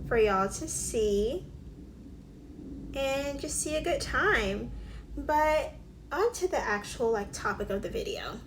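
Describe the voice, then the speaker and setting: Young woman, mid-twenties, a touch high-voiced, chatty get-ready-with-me vlogger full of fillers and asides. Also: noisy background. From Alabama. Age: 20s. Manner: chatty, filler-heavy, drops to whispered asides. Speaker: beauty vlogger. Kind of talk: casual get-ready-with-me chat.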